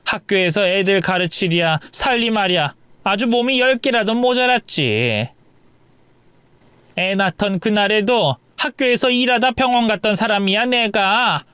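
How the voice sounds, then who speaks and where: A man's voice: high voice, animated, measured pace, pushed and bright, clear, resonant tone, dry recording, voice pushed up, heavily acted. A man voicing a harsh, nagging older mother-in-law who scolds her pregnant daughter-in-law. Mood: scolding. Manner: nagging, contemptuous.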